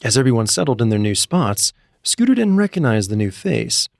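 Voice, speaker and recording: A man narrating a scripted comedic story in an expressive, theatrical way, with music playing behind him. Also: medium pitch, a little fast, vocal fry, no echo, studio quality. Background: background music.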